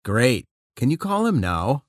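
A man's voice: mid-range voice, sing-song, measured pace, no echo, clean studio sound. A man reading his part in scripted dialogues for a listening test, in a plain conversational way.

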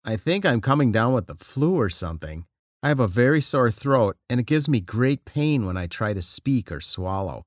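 A man's voice: medium pitch, sing-song, moderate pace, no echo, studio quality. A man reading his part in scripted dialogues for a listening test, in a plain conversational way.

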